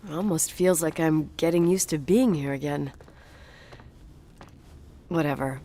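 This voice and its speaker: Wry, low-voiced young American woman. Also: unhurried, dark tone. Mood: tired, wry. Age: young adult. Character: strong-willed young woman, wry, guarded, back at family hotel. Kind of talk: naturalistic video game voice acting.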